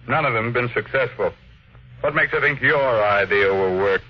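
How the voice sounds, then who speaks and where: A man's voice: mid-range voice, normal speed, lightly acted. A ruthless male tycoon, played by a radio actor, curt and imperious in a mid-range voice. He gives orders brusquely and brushes people aside. The sound is a dull, old radio recording. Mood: impatient, dismissive. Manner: brusque, commanding, dismissive. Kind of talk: 1950s radio drama acting.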